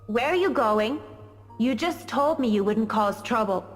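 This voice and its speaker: A woman's voice: on the high side, animated, measured pace. A woman voicing a timid teenage schoolgirl who talks in an anxious, coaxing way. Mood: pleading. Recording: reverberant game audio with music.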